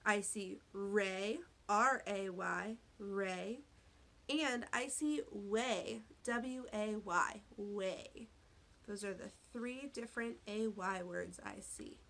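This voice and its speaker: A woman teacher giving a read-aloud phonics lesson to young children, speaking deliberately, spelling out words and asking questions. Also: medium pitch, vocal fry, a bit bright. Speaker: elementary school teacher.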